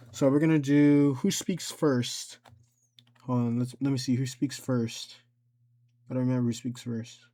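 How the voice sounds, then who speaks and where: A man with a medium-pitched voice hosts a casual software tutorial. He explains things step by step in an informal, unscripted way, often thinking aloud as he works. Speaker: tutorial host.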